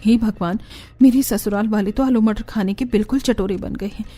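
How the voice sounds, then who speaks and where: A woman's voice: mid-range voice, a little fast, warm tone, natural voice. A woman playing a harried, theatrical young daughter-in-law in a cartoon family drama. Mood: exasperated, sarcastic. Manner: complaining, theatrical, sarcastic. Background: background music.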